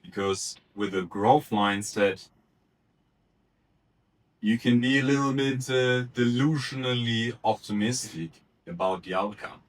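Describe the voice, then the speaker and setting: A man giving a short unrehearsed practice speech in a measured, deliberate way with occasional fillers. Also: medium pitch, low-quality recording. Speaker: speaker giving a practice talk.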